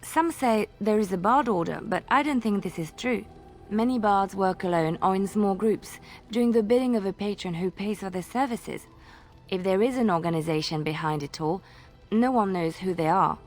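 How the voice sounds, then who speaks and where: A woman playing a guarded, wistful minstrel in a video game, with a gentle storytelling manner. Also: medium pitch, moderate pace, faint music behind, close to an everyday voice. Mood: guarded, explanatory. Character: wistful, guarded young woman minstrel, former spy turned devout. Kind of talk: video game dialogue acting.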